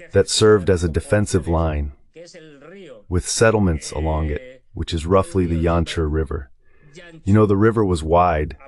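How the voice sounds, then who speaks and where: A man with a low-pitched, synthetic AI dubbing voice gives live map commentary. He explains step by step and repeats short phrases as he points things out. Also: darkish tone. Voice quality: synthetic dubbed AI voice. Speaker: guest commentator.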